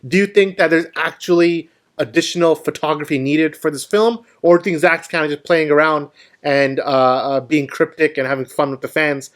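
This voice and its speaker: A man's voice: a little high, steady tone, moderate pace. A man hosting a casual film discussion, explaining his view and putting questions to the panel. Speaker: host of a film discussion show.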